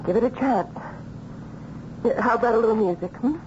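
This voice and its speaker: An actress playing a devoted, brightly reassuring wife, in old radio drama style. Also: female voice, a little high, a little fast, close to an everyday voice. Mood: coaxing. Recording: muffled, noisy old radio drama recording.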